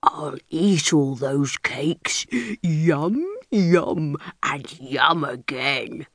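A woman voicing a massive, greedy bully of a bear in a storybook, gruff and domineering. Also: low-pitched, very slow, no room sound, clean studio sound, pitched below the performer's own voice, full character voice.

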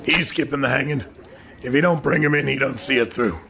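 Gravel-voiced frontier sheriff. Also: male voice, mid-range voice, a little fast, pushed and bright. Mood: businesslike. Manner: terse, authoritative. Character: brisk, commanding Old West sheriff. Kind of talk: western radio drama. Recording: noisy outdoor scene with birds.

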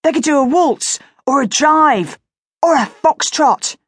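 A woman narrator puts on an excitable young farm boy from a children's storybook. He is high-voiced and eager, boasting of his wild ideas in a dry, close sound. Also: measured pace, dry recording. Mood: excited.

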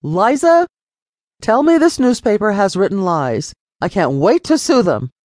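A woman's voice: lowish voice, expressive, moderate pace, dry recording, clean studio sound, natural voice. A woman voicing an angry wealthy father, raised and blustering with threats. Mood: raging.

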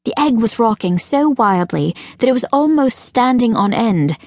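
A woman reading a children's fantasy audiobook as narrator, in a measured, expressive storytelling manner. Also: fairly high pitch, no room sound, clean studio sound. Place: recording studio.

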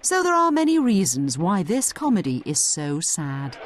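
A woman's voice: lowish voice, huge pitch swings, normal speed. A woman narrating a scripted educational audio guide, explaining things conversationally as she addresses the listener directly.

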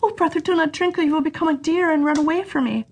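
A woman's voice: sits high, fast talker, pitched above the performer's own voice. A woman voicing an anxious, tender young girl in a fairy tale, pleading earnestly with her brother. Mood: frightened pleading. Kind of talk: children's fairy tale storytelling.

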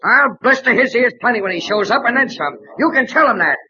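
An actor playing a sarcastic, blustering American baseball manager who scolds in an old-time radio drama. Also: male voice, very high-pitched, expressive, fairly quick, background noise. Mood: grumbling. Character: fuming, sarcastic little male baseball team manager. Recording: dull, lo-fi old radio recording.

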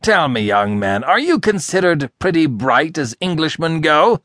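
A man's voice: medium pitch, lively intonation, fast, a bit bright, no room sound, clean studio sound. A man reading a storybook, voicing an irascible, sarcastic, blustering older rich American man who hectors explosively. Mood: sarcastic, scornful. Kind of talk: audiobook character acting.

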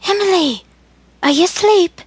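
A woman voicing Amelia, a gentle, excitable older sister, in a bright, lively, higher voice while reading a story. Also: normal speed, no room sound. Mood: excited.

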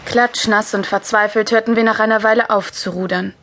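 A woman's voice: mid-range voice, fairly even intonation, fairly quick, fairly bright tone, natural voice. A woman playing a cheeky child who acts in and narrates a children's sea adventure. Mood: narrating. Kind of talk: German children's audio drama acting and narration.

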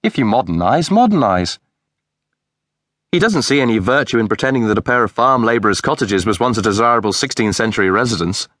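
A male audiobook narrator voicing a blunt, sharp-tongued young woman who is quick to protest. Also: fairly low pitch, animated, dry recording, studio quality. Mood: lively.

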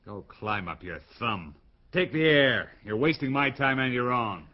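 An irritable playboy in a hardboiled radio drama. He is dismissive and evasive, with a very mobile pitch. The recording sounds somewhat dull. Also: animated, unhurried. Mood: irritated, dismissive. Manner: impatient, dismissive.

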